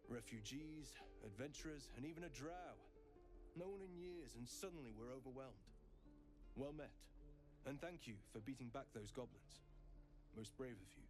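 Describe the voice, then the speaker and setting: The devout keeper who greets strangers at a quiet forest shrine in a fantasy game a man's acted role, polite, measured and resigned, music playing loudly around him. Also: medium pitch, animated, lightly acted. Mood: courteous, flustered. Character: courteous, resigned male druid of a secluded grove. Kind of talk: dramatic video game dialogue. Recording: loud music behind the voice.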